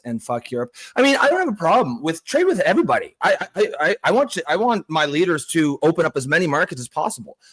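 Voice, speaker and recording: A Canadian man chatting about politics with a sarcastic, mocking edge. He trips over restarts and fillers, and his voice sits a little high for a man. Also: fairly bright tone. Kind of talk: casual political chat.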